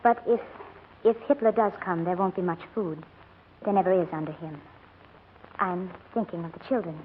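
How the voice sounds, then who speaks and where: A woman's voice: on the low side, normal speed, natural voice. A woman acting a strained, earnest, anxious mother in a 1940s radio drama. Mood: anxious pleading. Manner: earnest, urgent. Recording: tinny old radio recording, faint noise.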